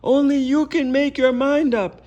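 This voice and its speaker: A man voicing a loud, bossy storybook creature who yells out drawn-out commands. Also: extremely high pitch, steady tone, dull audio, pitched above the performer's own voice. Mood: urgent, commanding. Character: loud bossy super hunch yelling commands. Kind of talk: children's storybook read-aloud.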